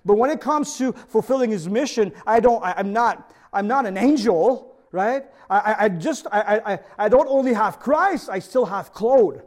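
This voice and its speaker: Middle-aged french-accented pastor, high voice, earnest sermon, keeps asking the crowd "you see?". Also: male voice, animated, moderate pace, few pauses. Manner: earnest, preaches with repeated rhetorical questions to the congregation.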